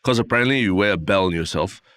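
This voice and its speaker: A man's voice: sits low, fast talker, a bit bright, dry recording. A Singaporean man with a Singaporean English accent co-hosting a podcast, talking in an easygoing, rambling, agreeable way. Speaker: podcast co-host. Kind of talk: casual chat.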